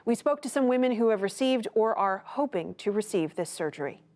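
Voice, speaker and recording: A woman's voice: mid-range voice, expressive, darkish tone. Woman reading a news report. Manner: measured, clear newsreader delivery. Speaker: news correspondent and interviewer. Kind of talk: scripted news report and interview.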